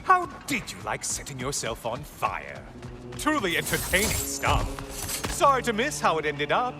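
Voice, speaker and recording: An actor playing a bitter, mocking sun god who taunts with sarcastic remarks in video game dialogue. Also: male voice, fairly high pitch, wildly swooping pitch, moderate pace. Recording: game audio with loud music.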